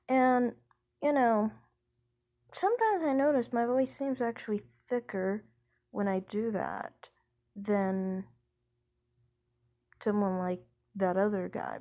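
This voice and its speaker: A woman's voice: mid-range voice, warm tone. A young woman who sings, talking casually about her voice type in a rambling, hesitant way with many fillers. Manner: speaks slowly. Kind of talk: casual vlog chat.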